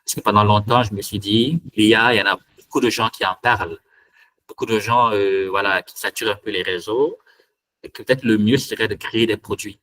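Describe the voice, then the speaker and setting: A man with a fairly low voice runs a live online tech meetup from his computer. He talks in a hesitant way, full of fillers and restarts. A faint hiss sits under the call audio. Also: fairly even intonation, fairly quick, a bit bright, rough recording. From Cameroon. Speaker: meetup host and trainer.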